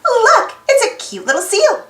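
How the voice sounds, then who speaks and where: Woman voicing an excitable, very high little girl. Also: a little slow, roomy sound, heavily acted. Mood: tender. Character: excitable, high-voiced little girl Annie, cheerful and caring.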